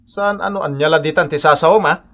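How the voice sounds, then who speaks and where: A man's voice: fairly high pitch, quick pace, sharp tone. A man with a northern Philippine accent playing an exasperated, commanding old husband in a comedy radio drama. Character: stern old husband scolding his gossiping wife.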